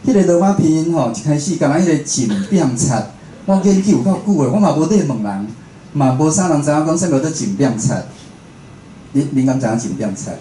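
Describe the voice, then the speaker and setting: A man's voice: on the high side, animated, fairly quick, warm tone. Taiwanese man lecturing in an echoey room. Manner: conversational, asks the listeners rhetorical questions. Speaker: radio host and station director giving a talk. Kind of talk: informal spoken lecture. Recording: echoey room with faint background noise.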